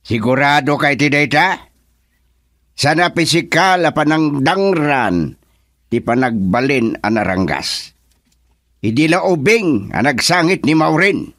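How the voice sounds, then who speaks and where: A man's voice: fairly high pitch, expressive, measured pace, a bit bright, dry recording, studio quality. A man playing a protective, stern older father and grandfather in a radio drama, with an Ilocano Filipino accent. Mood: indignant, stern. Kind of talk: melodramatic radio drama acting.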